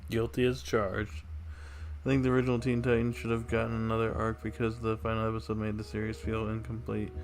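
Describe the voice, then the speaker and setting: A man's voice: on the low side, monotone, brisk. A man livestreaming a video game, giving casual commentary into a streaming mic.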